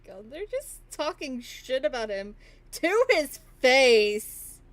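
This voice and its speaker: A woman's voice: sits high, big pitch swings, slow pace, no room sound. A woman giving casual, blunt, sweary live reactions as a game streamer.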